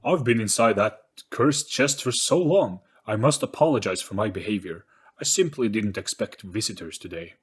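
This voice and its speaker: A man voicing a moody male ghost in a theatrical, drawn-out way for an audio story. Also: lowish voice, lively intonation, breathy edge. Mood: welcoming, apologetic. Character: moody male ghost, menacing then courteous and sorrowful.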